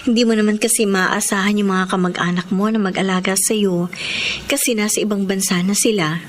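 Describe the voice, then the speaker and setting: A woman's voice: medium pitch, fairly even intonation, fairly quick, slight background noise, lightly acted. An actress with a Filipino accent playing a devoted, tender young woman in love in a sentimental, emotional radio drama. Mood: affectionate. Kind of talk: Filipino radio drama acting with letter-style narration. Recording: radio drama with prominent music underneath.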